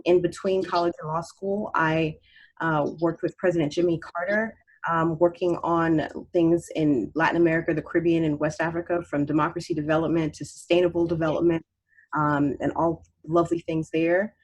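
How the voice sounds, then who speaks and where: A woman's voice: low-pitched, little pitch movement, normal speed, poor audio. A woman from Haiti raised in Miami, a state representative speaking in a candidate forum, confident and assertive. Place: video call.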